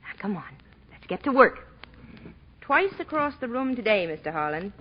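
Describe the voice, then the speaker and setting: A dull 1940s radio recording carries a young wife with a high, husky-edged voice. She is sweet on the surface and possessive underneath, scheming in the polished manner of period radio drama. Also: sing-song, normal speed. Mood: sweetly coaxing.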